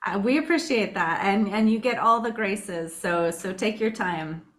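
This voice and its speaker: Female podcast host, supportive and patient, mid-range pitch. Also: measured pace, fairly bright tone. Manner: encouraging, lots of backchannel murmurs. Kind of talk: podcast interview.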